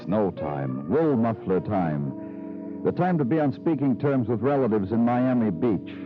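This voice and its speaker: An actor playing a hardened, weary New York homicide detective in a 1950s radio crime drama, with dry, brooding, clipped phrasing. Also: male voice, on the low side, big pitch swings, measured pace. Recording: muffled old radio recording with music.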